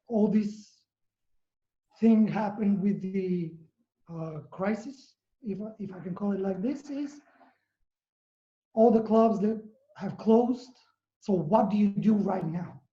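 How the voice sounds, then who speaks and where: A woman from Bulgaria, a DJ moderating a video call panel, talking quickly and warmly. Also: medium pitch. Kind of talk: casual video call chat. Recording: echoey room, dull lo-fi sound.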